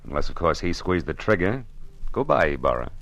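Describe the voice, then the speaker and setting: An actor playing a wisecracking hardboiled private detective in an old radio drama. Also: male voice, low-pitched, sing-song, fairly quick, gravelly, dull audio, exaggerated voice. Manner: dry, wisecracking, laconic. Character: hardboiled male private detective, wry and cool. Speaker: narrator and lead. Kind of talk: 1950s radio acting.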